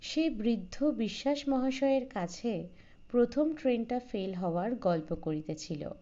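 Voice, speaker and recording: A woman narrating a literary short story in a measured, formal storytelling manner. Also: on the high side, expressive, dark tone, dull audio. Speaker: audiobook narrator.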